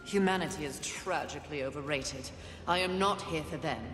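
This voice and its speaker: Actress as a low-voiced, scornful warrior woman. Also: normal speed, background noise, lightly acted. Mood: dry, scornful. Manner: haughty, dry sarcasm. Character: proud, scornful female warrior, impatient and sardonic. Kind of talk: fantasy video game acting. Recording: echoing hall reverb, music underneath.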